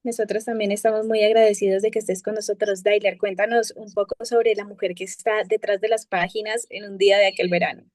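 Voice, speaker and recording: A woman's voice: mid-range voice, little pitch movement, fast, hardly any pauses, darkish tone, no room sound. A woman hosting a book fair interview, speaking in a formal presenting style.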